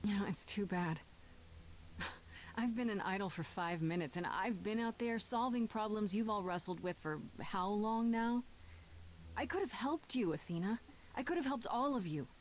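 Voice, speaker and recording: Game heroine, female actor: young, defiant, sardonic, dry natural delivery at a middle pitch. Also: moderate pace, slight background noise, lightly acted. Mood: bitter, accusing. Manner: dry, challenging, conversational. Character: defiant, sardonic young woman newly made an idol. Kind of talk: video game voice acting.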